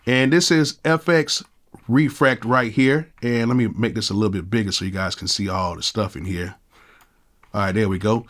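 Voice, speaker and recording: A man in his thirties with a cold and a nasal voice, casually walking through music software in a laid-back, slangy way from his home studio. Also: medium pitch. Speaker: music producer and YouTube host.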